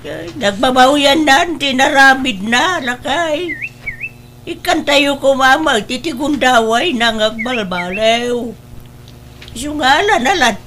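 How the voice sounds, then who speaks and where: Radio drama role: worried older mother, urging, unhurried speech, faint noise behind. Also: high voice, lively intonation, fairly bright tone, full character voice. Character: concerned older mother interceding for her daughter. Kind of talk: Ilocano radio drama acting.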